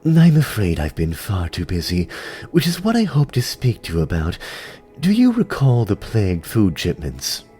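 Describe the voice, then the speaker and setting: A man's voice: on the low side, huge pitch swings, normal speed, dark tone. A man voicing a sly, scholarly female spider vizier in a fantasy audio drama, with a refined, wry, courteous manner. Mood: brisk, courteous.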